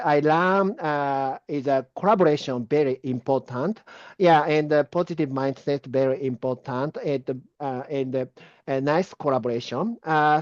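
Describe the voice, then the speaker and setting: A man with a Japanese accent, a guest answering interview questions in halting English full of fillers and restarts. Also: a little high, a little mellow, no room sound, lo-fi sound. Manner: hesitant, many fillers and restarts.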